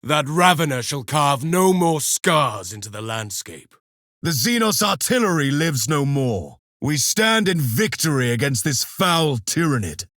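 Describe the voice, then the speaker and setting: A man's voice: on the high side, sing-song, unhurried, a bit bright, no echo, studio quality. An actor playing a grim, pious armored male super-soldier, declaiming solemn insults over slain foes in a video game. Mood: grim contempt. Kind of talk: video game combat voice lines.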